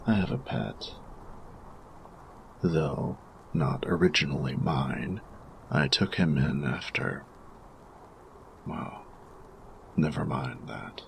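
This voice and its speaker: A man playing a grumpy, learned artificer in an audio roleplay, speaking in formal, precise, measured diction. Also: rumbling low, creaky, a little hiss or hum, exaggerated voice, low-quality recording. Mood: guarded. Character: grumpy male artificer, learned, formal, reserved steampunk inventor. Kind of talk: scripted audio roleplay, one-sided dialogue.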